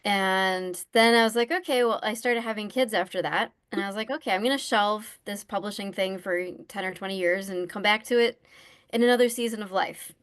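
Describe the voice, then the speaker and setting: A woman author, a guest, chatting casually over a video call about how she started writing, soft-spoken with plenty of fillers. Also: mid-range voice, fairly quick. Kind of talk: casual storytelling about her writing path.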